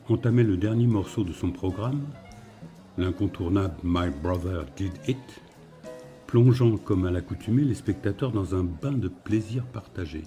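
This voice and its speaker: A man reading literary fiction as a narrator, in a measured storytelling manner. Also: lowish voice, warm tone.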